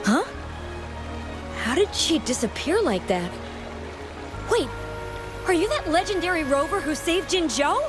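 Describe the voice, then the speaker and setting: A woman's voice: very high-pitched, sing-song, measured pace, pauses often, exaggerated voice. A woman playing a curious, earnest female adventurer and eager admirer in a fantasy video game, with music behind her. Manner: earnest, inquisitive.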